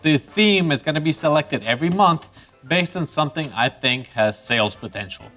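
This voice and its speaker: Man, Costa Rican-born, photography vlogger, slightly high voice, cheerful and chatty, faint background music. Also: moderate pace. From Costa Rica. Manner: upbeat, chatty, with bursts of excitement. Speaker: photography channel host. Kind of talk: casual YouTube presenting.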